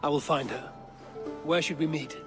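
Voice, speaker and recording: An actor plays a shrewd Viking warrior in a game drama. His male voice is a touch higher than average, and he speaks bluntly. Music is clearly audible behind him. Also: fairly even intonation, normal speed, close to an everyday voice. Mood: businesslike. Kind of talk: dramatic video game cutscene acting. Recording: music under the dialogue.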